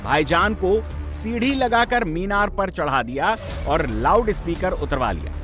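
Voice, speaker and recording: A man's voice: sits high, lively intonation, a little slow, a bit bright. A man presenting scripted TV news narration in an emphatic, sensational, dramatic way. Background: background music.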